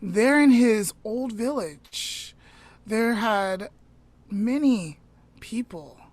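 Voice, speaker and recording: A woman casually retelling a story to her podcast co-host, unhurried, often trailing off into a soft near-whisper, with chatty asides and self-corrections. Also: mid-range voice, expressive, no echo.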